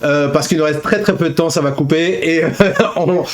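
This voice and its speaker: Casual man hosting, slightly high voice. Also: fairly even intonation, moderate pace. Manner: colloquial, matey. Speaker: host. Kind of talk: casual show chat.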